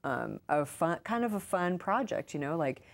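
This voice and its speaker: A woman with an American accent and a low-pitched voice answers as an interview guest. She talks informally and chattily, sprinkling in "like" and "you know" as she goes. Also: very animated, normal speed, gravelly, a little hiss or hum. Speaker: interview guest, author and urban farmer. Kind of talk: casual conversational interview answers.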